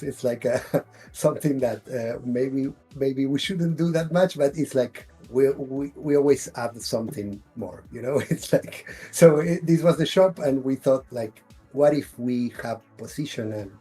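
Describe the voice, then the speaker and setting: A man's voice: medium pitch, warm tone, soft background music. A man from Argentina with an Argentine Spanish accent, a game developer explaining his game in casual chat with frequent restarts. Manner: halting, frequent restarts and laughs while explaining. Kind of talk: casual video call interview.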